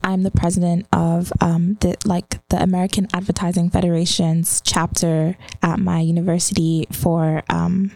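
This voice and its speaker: A woman's voice: on the low side, level tone, moderate pace, vocal fry, hardly any pauses, warm tone, no room sound. Playful young woman from the UK. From United Kingdom. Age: 20s. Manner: playful, teasing, upbeat. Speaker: podcast guest, student. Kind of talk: casual podcast chat.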